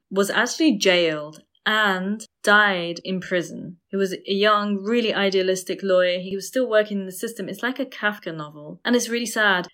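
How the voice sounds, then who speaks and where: A woman's voice: fairly low pitch, fairly bright tone. A woman in a casual discussion, talking in an explanatory, opinionated way. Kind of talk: casual discussion of books and current affairs.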